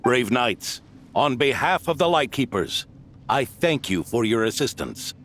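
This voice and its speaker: An actor playing a formal, courteous and earnest soldier in a video game. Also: male voice, mid-range voice, lively intonation, measured pace, fairly bright tone. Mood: formal, respectful.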